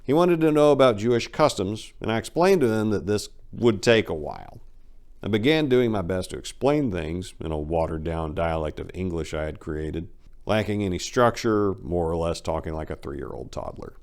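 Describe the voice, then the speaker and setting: A man's voice: deep voice, normal speed, darkish tone. A man giving a dramatic first-person reading as a book narrator, telling stories in a brash, irreverent, slangy way.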